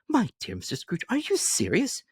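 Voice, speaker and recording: A man voicing a kindly old gentleman who sounds breathless and astonished. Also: high-pitched, wildly swooping pitch, moderate pace, breathy edge, dry recording, clean studio sound, voice pushed up, exaggerated voice.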